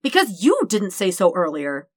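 A woman's voice: on the low side, huge pitch swings, moderate pace, no echo. A woman voicing a casual, amused and tender young man in love in a story, speaking plainly and informally. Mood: tender, sincere.

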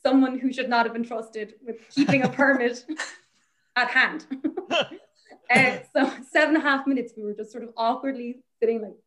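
A woman's voice: on the high side, steady tone, normal speed, fairly bright tone, a little hiss or hum. A young Irish woman researcher, chatting as a guest and telling a story in a rambling way, with plenty of laughter and fillers. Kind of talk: casual anecdote on a podcast.

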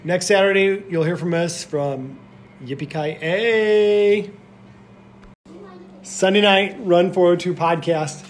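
Brief: male podcast co-host, casual and talkative, leans on fillers, somewhat high voice, faint background noise. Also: slow. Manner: chatty, full of "like" fillers.

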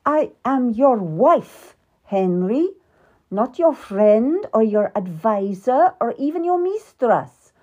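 A woman's voice: high voice, mellow, highs cut off, pitched above the performer's own voice, exaggerated voice. Woman voicing a proud, bitter queen. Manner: slow, measured and formal. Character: proud wronged middle-aged queen, dignified and bitter. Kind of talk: audiobook character reading.

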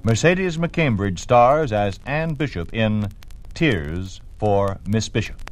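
A man's voice: fairly low pitch, lively intonation, takes it slowly. A man with an American accent narrating solemnly as an old-time radio program announcer. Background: loud music.

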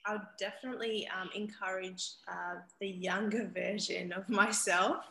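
A woman's voice: medium pitch, steady tone, measured pace, vocal fry, bright, forceful tone, low-quality recording. A young woman with a mixed Zimbabwean and Australian accent, giving reflective conversational interview answers peppered with "you know". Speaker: personal trainer, interview guest.

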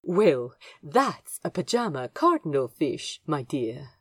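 A fussy, fond gentleman guide voiced by a woman in a fan audio drama. He is prim and eager, with a soft, breathy, mellow tone that moves slowly and rises and dips expressively. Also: sits low, sing-song, no room sound, studio quality, heavily acted. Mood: fond, pleased.

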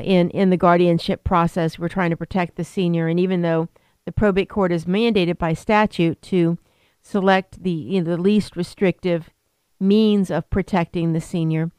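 A woman's voice: fairly low pitch, fairly even intonation, normal speed, darkish tone, clean tone, no room sound. A woman attorney explaining elder law on a radio talk show, rambling with many fillers and restarts. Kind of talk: radio interview.

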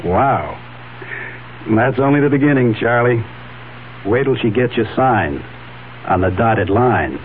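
An actor playing a wry, calmly probing man in a 1970s radio drama. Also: medium pitch, unhurried, pauses often, close to an everyday voice. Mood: wry, teasing. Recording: tinny old radio broadcast, faint noise.

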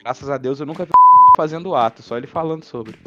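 A man's voice: medium pitch, fast, dull audio, loud music behind the voice. A man with a Brazilian accent joining a podcast chat with casual, joking banter. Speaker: podcast panellist.

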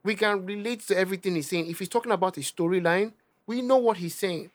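Animated young Nigerian man, slightly high. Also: fast talker. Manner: animated, emphatic, repeats himself. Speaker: rapper guest. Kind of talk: casual interview chat.

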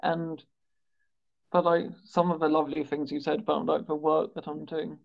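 Hesitant, stammering man with creaky voice. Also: on the high side, level tone. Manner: hesitant, halting, repeats words and sounds. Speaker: interviewer and stammering awareness campaigner. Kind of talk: conversational interview on a video call. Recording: dull, lo-fi video call audio.

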